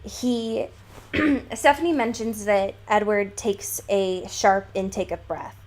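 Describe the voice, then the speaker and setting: A woman's voice: medium pitch, takes it slowly, a little mellow. A woman co-hosting a podcast, discussing a book casually and analytically with emphatic, lightly profane phrasing. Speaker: podcast co-host.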